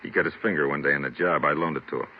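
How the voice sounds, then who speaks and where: An actor playing a cornered repeat-offender suspect in an old radio police drama, tough, slangy and pleading. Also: male voice, extremely low pitch, fairly even intonation, very fast. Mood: bitter accusing. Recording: tinny, noisy old radio recording.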